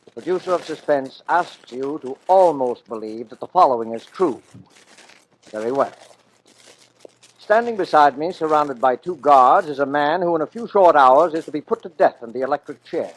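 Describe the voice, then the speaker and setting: A man's voice: on the high side, expressive, moderate pace, poor audio. A man presenting a 1940s radio drama with a formal, dramatic scripted delivery.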